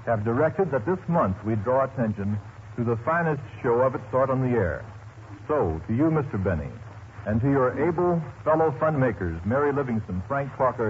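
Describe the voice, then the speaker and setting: A man's voice: medium pitch, darkish tone. A man giving a formal, oratorical award speech in the style of 1930s radio. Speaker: magazine editor presenting an award. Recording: dull, noisy 1930s radio recording.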